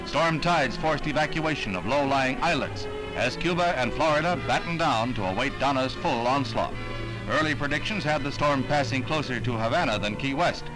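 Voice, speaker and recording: A man's voice: medium pitch, normal speed, low-quality recording. A man with an American accent reading scripted 1960s newsreel narration in a punchy, theatrical announcer's style. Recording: vintage newsreel soundtrack with loud music.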